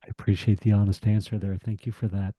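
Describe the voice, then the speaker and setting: Deep-toned man hosting, dry close sound. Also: little pitch movement, fast, dry recording, dull audio. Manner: encouraging, asks open questions. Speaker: talk show host. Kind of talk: conversational interview.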